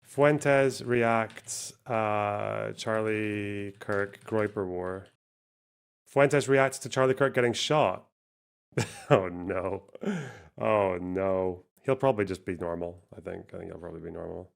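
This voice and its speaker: A man's voice: fairly low pitch, takes it slowly, creaky, clean tone. A man hosting a livestream, reacting to clips in casual, profane, exasperated talk and laughing easily. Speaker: streamer host.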